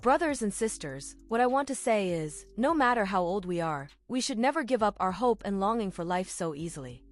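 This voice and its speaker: A woman voices a translated clip in an earnest, confiding tone. Her voice sits at an ordinary middle pitch. Faint music plays behind her. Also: expressive, fairly quick, hardly any pauses. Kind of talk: translated voice-over narration.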